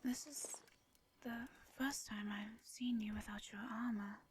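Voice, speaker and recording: A woman playing a shy, formal vampire in a roleplay audio drama, with an English accent, a soft breathy voice and hesitant, flustered phrasing. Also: fairly high pitch, steady tone, measured pace, a little hiss or hum, lightly acted. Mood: hushed, nervous.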